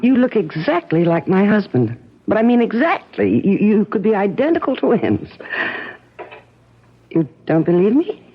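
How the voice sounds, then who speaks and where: Husky, animated concerned woman on old radio. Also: medium pitch, moderate pace. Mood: shaken, probing. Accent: American. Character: troubled married woman, concerned, insistent rescuer turned suspicious. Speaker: radio drama actress. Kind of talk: 1970s American radio drama acting. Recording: muffled, lo-fi old radio broadcast.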